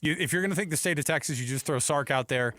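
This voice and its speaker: A man's voice: a little high, quick pace, sharp tone, dry recording, studio quality. A man hosting a sports radio show with casual, teasing banter. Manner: playful, teasing.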